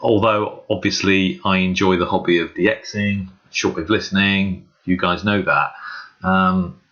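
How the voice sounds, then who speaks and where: A man's voice: sits low, fairly even intonation, measured pace, fairly bright tone. A man talking casually and earnestly in an unscripted, hesitant way, as host of a hobbyist walkthrough video. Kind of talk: casual screen walkthrough vlog. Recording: dull, muffled lo-fi microphone.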